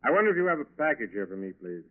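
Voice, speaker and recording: An actor playing an evasive murder suspect in a 1940s radio drama, guarded, polite and curt. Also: male voice, medium pitch, big pitch swings, very fast, lightly acted. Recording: muffled, narrow 1940s radio recording.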